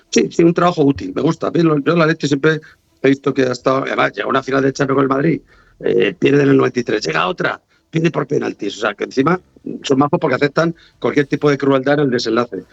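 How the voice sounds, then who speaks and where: A man's voice: medium pitch, creaky, no echo, muffled recording. A man with a Castilian Spanish accent giving teasing, sarcastic, rambling sports banter as a guest pundit over a remote connection. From Spain.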